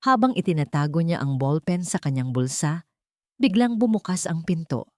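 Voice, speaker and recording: A woman reading a scripted story in a dramatic, deliberate manner, phrase by phrase. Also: sits low, sing-song, darkish tone, dry recording, clean studio sound. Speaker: storyteller, narrator.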